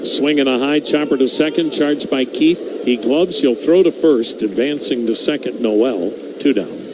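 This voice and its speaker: A man's voice: mid-range voice, unhurried, noisy background. A man doing live baseball play-by-play commentary as a radio announcer.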